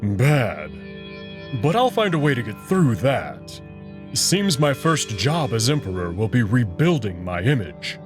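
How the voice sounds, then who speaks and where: A man voicing a large, gentle alien wolf who is soon to be emperor, unhurried and reassuring, in a visual novel. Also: medium pitch, very animated. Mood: subdued, reassuring. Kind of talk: visual novel character dialogue. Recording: music behind the voice.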